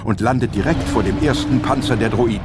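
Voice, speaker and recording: A man narrates an adventure audio drama. His voice is mid-range and the delivery is dramatic and scripted, with music clearly audible underneath. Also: mid-range voice, fairly quick.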